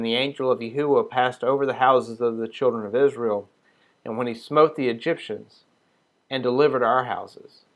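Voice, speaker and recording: Male teacher, mid-range voice, deliberate reading, relaxed off-the-cuff explaining. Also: medium pitch. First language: not Hebrew. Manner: reads quotations slowly, then talks loosely with fillers and restarts. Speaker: Sabbath group teacher. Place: small group meeting room.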